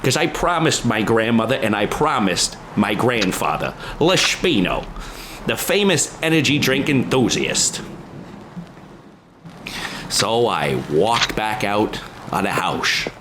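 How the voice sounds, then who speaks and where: A man's voice: on the low side, very animated, slow pace, background noise. A man playing a crude, vengeful jilted man who narrates his own tale melodramatically in a parody radio drama. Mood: bitter, brooding. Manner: profane, melodramatic. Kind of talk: improvised parody of old time radio drama.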